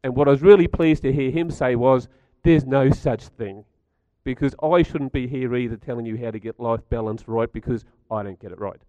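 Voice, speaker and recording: Middle-aged man with a croaky voice, chatty talk to an audience, mid pitch, dry muffled recording. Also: moderate pace, few pauses, warm tone, no room sound. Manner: chatty, stats-driven, asks the audience questions. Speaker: speaker at a community gathering.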